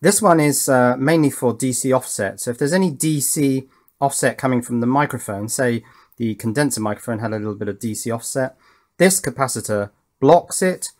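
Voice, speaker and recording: A man's voice: mid-range voice, normal speed. A man giving a casual, hands-on technical explanation in an informal, methodical way, as a tutorial host. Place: indoors at a workbench.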